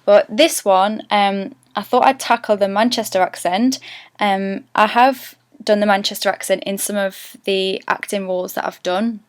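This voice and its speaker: Chatty Geordie woman, smooth voice. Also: mid-range voice, fairly even intonation, normal speed, no room sound, clean studio sound. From North East England. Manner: chatty and self-deprecating, thinks aloud. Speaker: actress giving an accent tutorial. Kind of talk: casual to-camera vlog.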